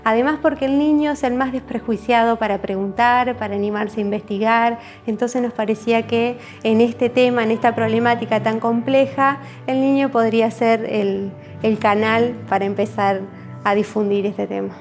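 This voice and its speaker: A woman's voice: a little high, steady tone, moderate pace. Argentine woman explaining, music behind her. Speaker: communicator interviewed about a university project. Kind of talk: explanatory interview talk.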